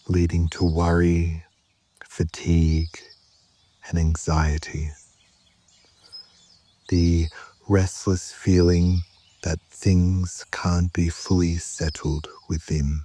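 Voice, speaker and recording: Deep, slow, mellow male meditation guide. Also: slightly rough. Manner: calm, measured, with long pauses between short phrases. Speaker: yoga nidra meditation guide.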